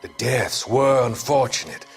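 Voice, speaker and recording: A man's voice: medium pitch, measured pace, creaky, husky, faint music behind, full character voice. A male actor playing a cold, manipulative doctor in a video game, clinical and evasive. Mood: coldly evasive.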